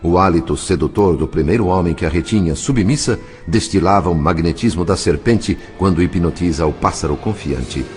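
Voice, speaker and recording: Low-pitched Brazilian man narrating drama. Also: normal speed, hardly any pauses, darkish tone, faint music behind. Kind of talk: dramatic scripted audiobook narration.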